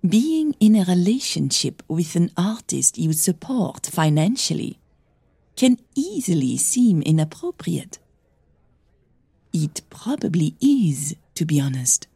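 A woman's voice: lowish voice, very animated, takes it slowly, vocal fry, dark tone, breathy edge, dry recording, heavily acted. A woman voicing a composed, wealthy older mother with dry amusement and frankness in an audio drama. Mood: serious, frank.

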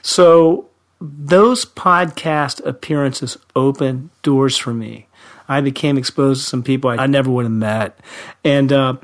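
A man, a friendly, self-deprecating podcast guest with a young-sounding voice who laughs often. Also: medium pitch. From Central Ohio, USA. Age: 50s. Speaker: high school teacher, guest. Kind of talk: podcast interview.

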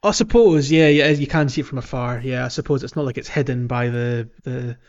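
A man's voice: medium pitch, brisk, darkish tone, highs cut off. A man co-hosting a podcast in a casual, conversational way about a video game. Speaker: podcast co-host.

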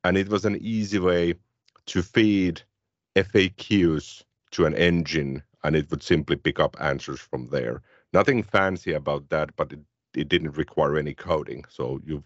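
Deep-voiced Finnish man talking tech. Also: dry recording, lo-fi sound. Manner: relaxed, conversational explaining. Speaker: podcast co-host. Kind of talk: casual tech chat.

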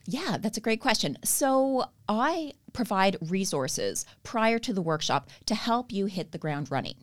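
A woman's voice: mid-range voice, animated, unhurried. A woman with a Canadian accent, a podcast guest earnestly explaining her therapy work and laughing easily. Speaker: guest, stretch therapy educator. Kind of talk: podcast interview.

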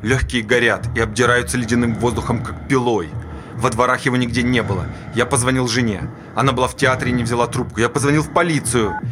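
A man's voice: medium pitch, steady tone, fast talker, natural voice. An actor playing an agitated ex-smoker father, telling his ordeal as an intense theatrical monologue on a noisy stage recording. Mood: tense, urgent. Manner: intense, dramatic storytelling.

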